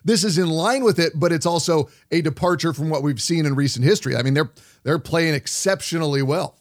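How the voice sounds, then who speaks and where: Guy hosting a sports radio show, voice a bit on the high side, talking hockey commentary style. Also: brisk.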